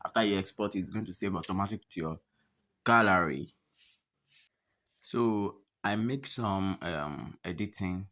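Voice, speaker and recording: A man's voice: low voice, unhurried, sharp tone. A man narrating a step-by-step app tutorial, often repeating his phrases and instructions. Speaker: tutorial host.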